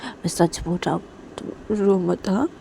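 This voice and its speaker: A woman voicing a remorseful, tender lover in a story, softly and insistently repeating reassurances and promises. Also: lowish voice, measured pace, gravelly, a little hiss or hum. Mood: soothing, remorseful. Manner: soft, insistent, repetitive reassurance.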